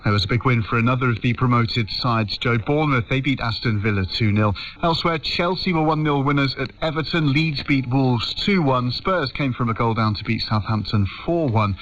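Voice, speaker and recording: A man of medium pitch runs through a sports round-up as presenter. His voice comes over a lo-fi shortwave radio signal. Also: moderate pace, hardly any pauses, a bit bright. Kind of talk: scripted sports round-up, addressing the anchor. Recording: dull shortwave radio broadcast.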